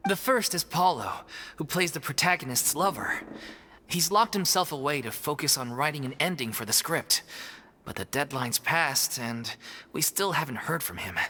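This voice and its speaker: A man's voice: fairly high pitch, expressive, normal speed, pushed and bright, a little hiss or hum. An actor voicing an earnest male member of a theatre troupe in a video game, telling things expressively. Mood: concerned.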